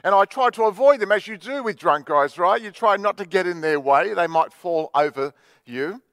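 High-voiced pastor preaching. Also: male voice, lively intonation, normal speed, fairly bright tone, no echo, clean studio sound. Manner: chatty storytelling with many fillers. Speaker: Baptist pastor. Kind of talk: sermon. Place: church livestream.

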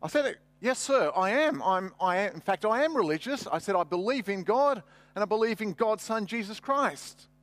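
A man's voice: high voice, expressive, moderate pace, a bit bright, no echo. A middle-aged man preaching as a pastor, conversational and emphatic on key phrases. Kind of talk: sermon with personal anecdotes.

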